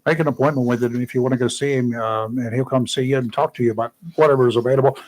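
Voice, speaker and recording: A man's voice: medium pitch, fairly even intonation, racing, darkish tone, rough recording. A man, hosting a local radio talk show in a folksy, chatty way. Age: 60s. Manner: folksy, chatty, repeats himself. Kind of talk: casual radio chat. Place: radio studio.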